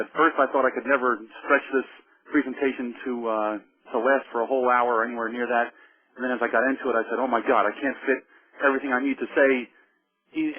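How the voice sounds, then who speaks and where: Middle-aged jersey guy explaining tech stuff on a webinar, lots of ums and restarts, tinny phone line. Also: mid-range voice. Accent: New Jersey. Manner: easygoing, repeats words and restarts, many ums. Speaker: webinar presenter, forensic engineer. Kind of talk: technical lecture.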